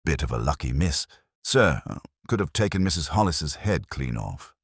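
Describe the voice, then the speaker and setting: A man's voice: rumbling low, sing-song, moderate pace, slightly rough, no room sound, studio quality, heavily acted. A man voicing a confused, chatty police sergeant, a plain-spoken and deferential sidekick in a mystery story. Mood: puzzled.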